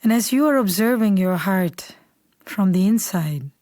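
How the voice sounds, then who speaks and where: A woman leading a guided meditation in a calm, unhurried, soothing way, softly and at times almost whispering. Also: medium pitch, no room sound, studio quality. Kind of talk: guided meditation, slow scripted instruction.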